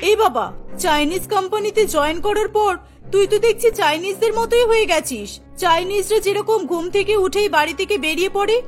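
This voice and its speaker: A woman's voice: very high-pitched, normal speed. A woman playing a nagging, exasperated middle-aged mother in a cartoon, scolding with questions over music. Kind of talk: dramatised cartoon dialogue.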